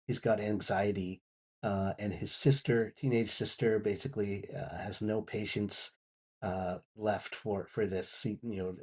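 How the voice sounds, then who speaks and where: A man giving an unscripted review as a guest on a talk show, in halting, often repeated phrases. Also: lowish voice, mellow, slightly rough. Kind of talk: unscripted audio drama review.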